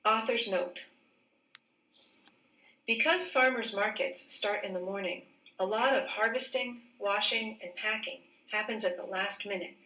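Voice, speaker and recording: A woman teacher reading a children's picture book aloud in a careful, expressive, clearly phrased way. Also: mid-range voice, unhurried. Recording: roomy echo, distant microphone.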